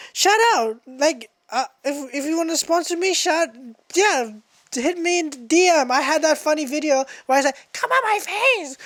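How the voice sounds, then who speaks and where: A young adult woman hosting a podcast in a casual, slangy, sweary freestyle ramble, scattered and excitable, close on the microphone. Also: high-pitched, no room sound. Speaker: podcast host. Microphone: close microphone through a mixer.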